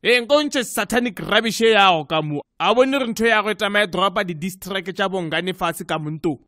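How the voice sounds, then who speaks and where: A man's voice: sits high, a little fast, sharp tone, dry recording, clean studio sound. A man voicing a sarcastic, grumbling South African cartoon sidekick with a mocking, streetwise manner. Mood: scornful. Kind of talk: comedic cartoon dialogue.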